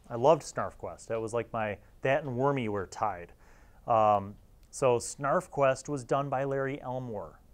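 A man in his forties hosting a casual, rambling review to camera, speaking as an enthusiastic, nostalgic fan. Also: medium pitch. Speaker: host of a retro tabletop gaming review series. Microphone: lapel mic.

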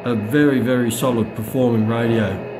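A middle-aged man giving a casual, unscripted product demonstration in a halting, hesitant way with many pauses. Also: medium pitch, fairly even intonation. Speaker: radio hobbyist reviewer. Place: small room at home, indoors. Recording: noisy home recording with radio static. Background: shortwave radio static and broadcast.

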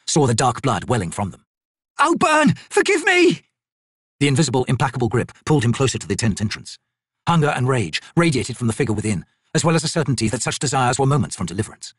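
A man's voice: medium pitch, expressive, quick pace, slightly rough, no echo, studio quality. A man reading dramatic fantasy narration as an audiobook narrator.

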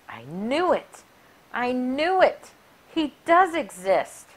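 A middle-aged woman reading a rhyming picture book aloud for children in an expressive, deliberate, sing-song way. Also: sits high. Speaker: library story time reader. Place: small furnished room indoors. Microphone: clip-on lapel mic.

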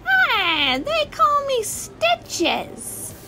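Very high, coy female arms dealer. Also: wildly swooping pitch, very slow, loud music behind the voice, full character voice. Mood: coy, curious. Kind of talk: audio drama acting.

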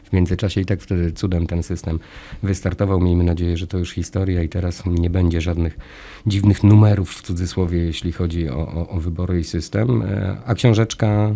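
A middle-aged man hosting a radio interview in a small studio, speaking close into a desk microphone. His voice sits very low and sounds dark and mellow. He asks long, winding questions and builds them out of everyday comparisons. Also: fairly even intonation, a little fast, gravelly. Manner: long, discursive questions with analogies. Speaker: radio host. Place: small radio studio with orange acoustic panels. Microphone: studio mic on a desk arm, wearing headphones.

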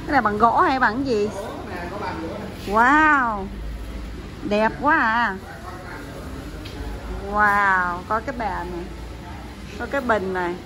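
A woman's voice: fairly high pitch, slow, long pauses, a crowd in the background, low-quality recording. A woman, chatting casually on a phone camera as she walks through a market and town streets, pointing things out. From Vietnam. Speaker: vlogger. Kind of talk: casual travel vlog narration. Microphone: phone camera held while walking. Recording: phone audio with loud street noise. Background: loud street traffic and motorbikes.

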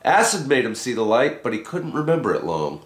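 A man's voice: mid-range voice, sing-song, fairly quick. A man giving a dramatic recitation of a rhyming story poem as its reader. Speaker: poetry reader. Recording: roomy, echoing home recording.

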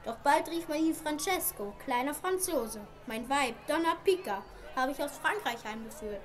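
A woman's voice: high-pitched, expressive, moderate pace. A woman playing a proud, indignant wealthy merchant father in an amateur radio play, declaiming emphatically and with grievance.

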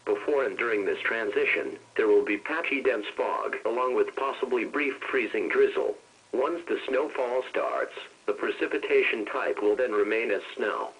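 A man reading a scripted weather bulletin as a radio announcer, in a measured, impersonal, synthesized-sounding way, heard over weather radio. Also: mid-range voice, a bit bright, husky, rough recording. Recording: weather radio broadcast picked up off a speaker.

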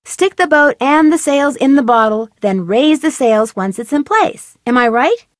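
A curious young woman detective in a mystery game, brisk and matter-of-fact. Her voice sits fairly high and is recorded close and dry. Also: animated, no room sound. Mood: reasoning.

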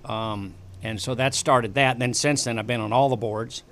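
An older man sits at a broadcast mic in a small studio, recounting family and local history. He talks casually and meanders, restarting phrases often. His voice sits at an ordinary middle pitch. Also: fairly even intonation, moderate pace, a bit bright, a little hiss or hum. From western United States. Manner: rambling, many restarts and fillers. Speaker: guest. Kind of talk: casual interview storytelling. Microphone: desk broadcast mic on boom arm.

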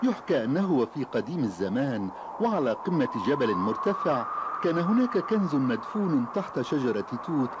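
A man's voice: mid-range voice, very animated, a little fast, noisy background. A man narrating scripted stories for children.

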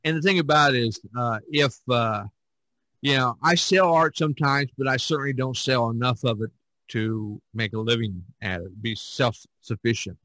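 A man hosting a podcast in casual conversation, talking in a folksy, rambling, halting way. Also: medium pitch, sharp tone, dry recording, low-quality recording. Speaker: podcast host.

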